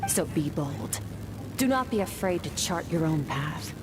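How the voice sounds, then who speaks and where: A woman voicing a stern, composed master mentor in a video game, speaking in a measured, solemn, instructive way. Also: sits low, animated. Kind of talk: scripted video game dialogue. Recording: game dialogue under music. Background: game music.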